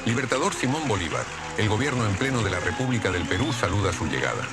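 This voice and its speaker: Historical drama: formal government dignitary, declamatory, lowish and animated, over music and crowd. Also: male voice, fast talker. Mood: ceremonial welcome. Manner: ceremonial, declamatory. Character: formal male government dignitary greeting a liberator.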